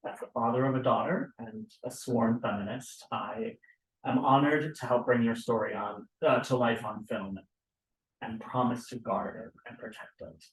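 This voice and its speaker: A man's voice: mid-range voice, steady tone, moderate pace, natural voice. A man acting an affable middle-aged documentary maker in a script read-through, hesitant and self-deprecating. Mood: curious, earnest. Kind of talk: table read of a play script. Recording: echoey room.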